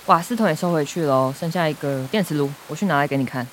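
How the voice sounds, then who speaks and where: Actress as fast-talking Taiwanese elder sister. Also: female voice, sits low, a little hiss or hum, close to an everyday voice. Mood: matter-of-fact. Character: brisk, matter-of-fact adult elder sister. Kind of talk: radio drama acting.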